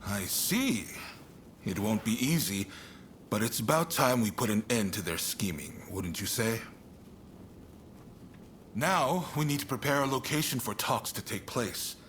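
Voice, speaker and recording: An actor playing a shrewd, spirited samurai statesman in a video game cutscene, speaking informally and earnestly with an expressive delivery. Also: mid-range voice, unhurried, long pauses, slight background noise. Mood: earnest, resolute.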